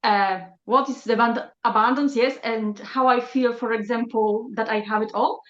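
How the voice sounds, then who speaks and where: Halting non-native woman, echoey lo-fi call. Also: on the high side, fairly even intonation, a bit bright. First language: not English. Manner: searching for words, halting, reflective. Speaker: session participant. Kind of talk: sharing reflections in a group call.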